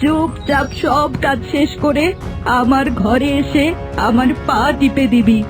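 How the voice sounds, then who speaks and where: High-pitched nagging young daughter-in-law, acted by a woman. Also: slow, music in the background. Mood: haughty, scolding. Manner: sharp, nagging, sarcastic scolding. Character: cruel, bossy young daughter-in-law, scolding and sarcastic. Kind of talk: Bengali cartoon folk-tale voice acting.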